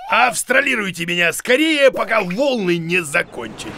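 Casting: a bumbling, boastful bearded cartoon creature, high and gravelly, theatrical and excitable. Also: male voice, moderate pace, noisy background. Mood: excited. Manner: exclaiming, muttering, bumbling, theatrical. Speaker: main character of a children's series. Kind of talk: animated children's cartoon voice acting.

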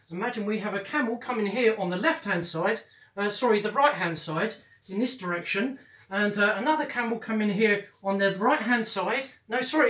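A flustered camel driving instructor who keeps correcting its own directions, as a performer plays it. The voice sits at a middling pitch. It rings in a roomy, echoey space. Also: fairly bright tone, natural voice. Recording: roomy echo.

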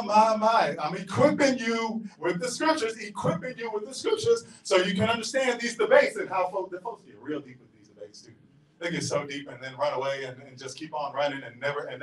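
Southern pastor guy preaching, high voice, shouts then drops low, big echoey church. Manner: emphatic preaching, swings between shouts and low asides; paces and gestures across the stage while preaching. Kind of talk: sermon. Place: large church auditorium. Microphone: handheld mic, filmed from far back. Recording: echoey hall from far off.

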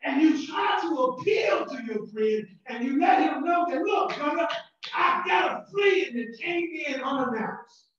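A man with a Southern American accent, a pastor preaching a sermon with shouted emphasis and repeated words. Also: very high-pitched, lively intonation, measured pace, a faint crowd. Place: church sanctuary. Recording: distant, very echoey and muffled church recording.

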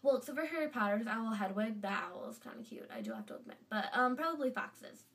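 A woman's voice: on the high side, lively intonation, quick pace, fairly bright tone, distant mic. Chatty teen girl with a stuffy cold. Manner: chatty and gushing, lots of fillers. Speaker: vlogger. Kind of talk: casual fan vlog. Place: bedroom indoors. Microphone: webcam at desk distance.

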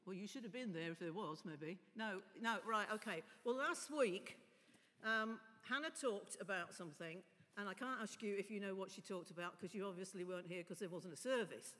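A middle-aged woman preaching a chatty, informal sermon from a lectern, her voice husky from a cough. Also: mid-range voice, normal speed, a bit bright. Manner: chatty, informal asides to the congregation. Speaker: lay preacher. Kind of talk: sermon with prayer. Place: large stone church, indoors. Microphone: lectern mic. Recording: long church reverb.

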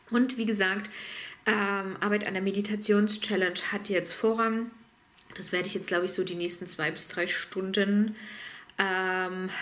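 A woman in her thirties talks to her camera at home, chatty and candid. She has a slight cold and drifts through her day with plenty of ums, recorded close up in a small room. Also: medium pitch. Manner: chatty, frank, self-deprecating with filler ums. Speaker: vlogger. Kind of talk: casual vlog chat.

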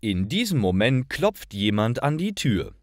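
A man reading a scripted story for language learners, with clear and careful, expressive enunciation. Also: medium pitch, a little slow, no room sound, studio quality. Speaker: narrator of stories for language learners.

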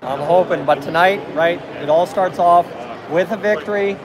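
A man's voice: sits high, moderate pace, low-quality recording. A man giving post-game sports commentary as a fan vlogger, recorded on a phone at arm's length in a busy arena entrance. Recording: loud urban crowd and traffic noise.

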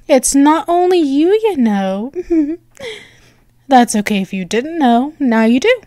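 A woman playing a flustered, bratty but affectionate cat-girl girlfriend in an ASMR roleplay, halting and teasing as she stammers. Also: sits high, expressive, warm tone, no echo, clean studio sound. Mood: shy, affectionate. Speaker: audio roleplay performer.

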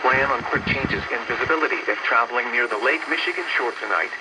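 Automated American weather announcer, male, mid-pitched, formal synthetic read, heard through extremely noisy radio. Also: fast. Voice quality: synthetic computer-generated voice. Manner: flat, formal delivery. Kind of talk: scripted weather forecast reading. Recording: very noisy radio picked up off speaker.

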